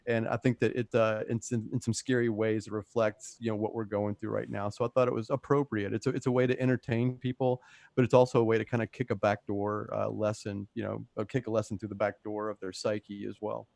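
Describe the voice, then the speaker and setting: A man's voice: on the low side, flat delivery, gravelly, a little mellow, dry recording. Man chatting casually, dry sound. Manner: halting, repeats words as he explains. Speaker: radio drama actor and co-host. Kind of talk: casual chat during intermission. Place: at home.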